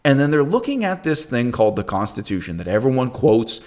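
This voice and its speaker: A man hosting his own channel, giving an emphatic, opinionated talk on history, with loud bursts that drop to quiet asides. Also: medium pitch, very animated, fairly quick. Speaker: channel host.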